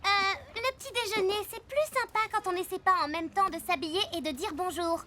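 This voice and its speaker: A woman voicing a sensible, sharp-tongued young schoolgirl in a cartoon, matter-of-fact and sarcastic. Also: extremely high pitch, a little fast, very noisy. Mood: dry, teasing. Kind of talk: French animated series dubbing.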